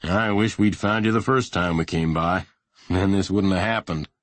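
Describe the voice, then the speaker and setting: A man voicing a big, folksy policeman in a storybook, steady and reassuring in his manner. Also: low-pitched, moderate pace, close to an everyday voice. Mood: calm.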